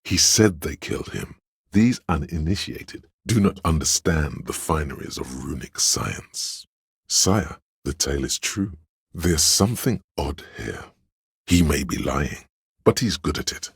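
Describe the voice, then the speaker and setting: A male actor voicing a servile, courtly and suspicious adviser in a video game, speaking in a grandiose, measured, theatrical way with a gravelly, husky voice. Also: very deep voice, expressive, no echo, clean studio sound, full character voice. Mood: sly, appraising. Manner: grandiose, measured, addressing listener as sire. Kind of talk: theatrical game character voice lines.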